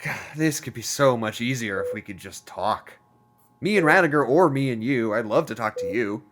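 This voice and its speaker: A man's voice: medium pitch, animated, moderate pace, heavy background noise, close to an everyday voice. A man playing an earnest, lonely, chatty character in an audio drama, rambling informally with wry humor. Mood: wistful, wry.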